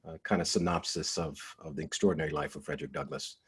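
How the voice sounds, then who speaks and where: A man speaking as an actor giving an informal, halting introduction to his performance. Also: rumbling low, slightly rough. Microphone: headset or headphones on a remote online session.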